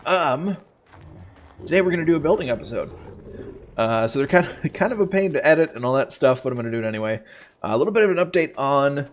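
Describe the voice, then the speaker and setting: A man's voice: on the high side, animated, fast. A man with a cold, sounding stuffed up, hosting casual game commentary in a chatty, rambling way full of ums and uhs. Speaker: gaming channel host.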